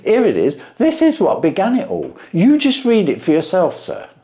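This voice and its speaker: Man as a blustering, plain-spoken pawnbroker, very animated. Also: high-pitched, normal speed, voice pushed up. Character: stout, simple, easily astonished male pawnbroker. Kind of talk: storybook character voice.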